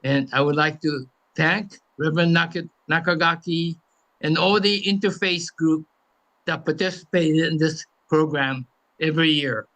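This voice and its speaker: A man in his eighties from the United States giving a halting memorial speech in short phrases as a guest speaker. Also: a little high, fairly bright tone, poor audio. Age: 80s.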